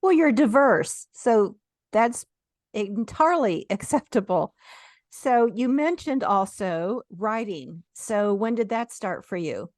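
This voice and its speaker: A woman's voice: medium pitch, expressive, slow, a little mellow, dry recording, clean studio sound. A woman hosting a podcast, introducing a guest and asking friendly, encouraging questions. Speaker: podcast host. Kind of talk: podcast interview.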